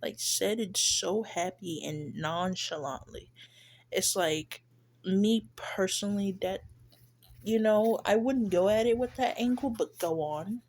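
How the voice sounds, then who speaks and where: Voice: medium pitch, slow pace. A stuffy-nosed speaker giving casual, shy, hesitant commentary on a clip, with many fillers and restarts. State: stuffy, congested nose. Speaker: reaction video creator. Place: lives in Texas.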